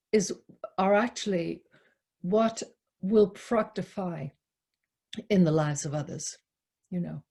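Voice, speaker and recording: A woman leading a poetry discussion on a video call, explaining reflectively in deliberate, halting phrases with pauses. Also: mid-range voice, darkish tone, slightly rough. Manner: halting, deliberate, pausing between short phrases. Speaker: poetry reader and discussion leader. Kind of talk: reflective spoken commentary, unscripted teaching. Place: online video call talk.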